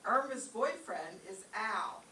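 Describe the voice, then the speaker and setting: A woman's bright, forceful voice carries through a roomy space, a little away from the mic. She reads scripted narration as the emcee of a live radio show, over faint noise. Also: medium pitch, expressive, slow pace. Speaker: master of ceremonies. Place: live stage show in a room. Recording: echoey room, distant mic, faint noise.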